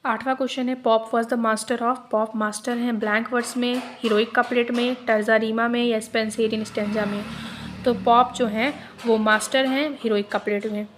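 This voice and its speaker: A woman teacher going through exam multiple-choice questions, reading each one out slowly and then explaining it. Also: on the high side, level tone, a little hiss or hum.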